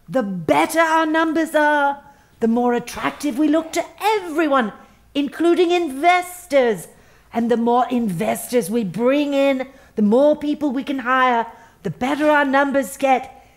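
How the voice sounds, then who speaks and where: A woman playing a smooth, manipulative HR executive in a satirical audio drama, cajoling and emphatic with corporate positivity. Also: high-pitched. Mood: coaxing, pressuring. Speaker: actor.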